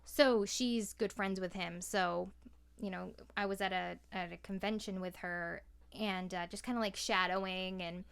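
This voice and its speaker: An American woman from Maine talking in an informal, animated, slangy way as a podcaster. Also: medium pitch, measured pace, creaky, clear, resonant tone. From Maine, USA. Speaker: podcast guest, podcaster and voice actor. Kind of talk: casual interview chat.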